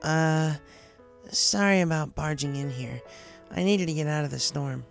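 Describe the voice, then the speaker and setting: Man as a hesitant runaway pegasus girl, pitched up. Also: a little slow, creaky, faint music behind. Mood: sheepish, apologetic. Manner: hesitant, blunt. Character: young runaway pegasus mare, awkward, guarded, apologetic.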